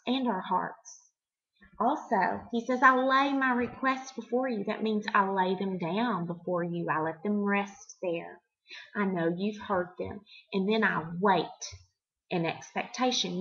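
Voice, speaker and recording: A middle-aged woman hosting a devotional for children, teaching in simple, expressive words and putting questions to the listener. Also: medium pitch, gravelly, highs cut off. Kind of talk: devotional talk addressed to children, with storytelling.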